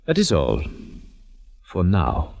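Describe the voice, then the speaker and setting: An actor playing a suspicious communist party boss in a 1950s radio drama, speaking in a clipped, commanding way. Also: male voice, low-pitched, huge pitch swings, slow pace, exaggerated voice. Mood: cold.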